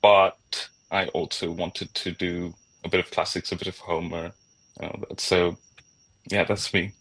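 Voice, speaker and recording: A man's voice: low-pitched, little pitch movement, a little slow, fairly bright tone, low-quality recording. A young man from India introducing himself as a student on a video call panel, friendly, adding tag questions.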